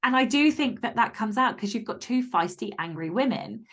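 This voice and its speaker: A woman's voice: on the high side, expressive, moderate pace, a bit bright. A woman chatting candidly as an interview guest, speaking in an emphatic, blunt and sweary way. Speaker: podcast guest, fiction author. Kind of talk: casual, candid interview chat.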